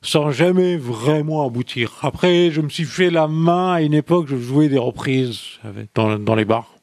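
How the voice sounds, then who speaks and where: A man's voice: a little high, moderate pace, a little mellow, no echo. A man who is a musician guest, speaking French though it is not his native language, rambling and reflective. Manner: rambling, reflective, many restarts. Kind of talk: casual interview answers.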